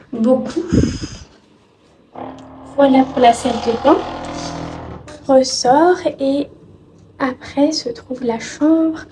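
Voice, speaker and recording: A woman with a fairly high voice talks through a travel vlog at an unhurried pace. She is polite and descriptive, speaking softly and casually, with faint noise around her. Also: creaky, poor audio. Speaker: vlogger.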